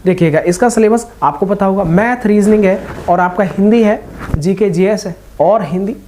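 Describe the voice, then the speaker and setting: A man's voice: high-pitched, moderate pace, darkish tone, a little hiss or hum. A young man speaking informally and reassuringly, as the host of a video giving motivational advice to exam candidates. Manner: informal, reassuring, addressing listeners as brothers.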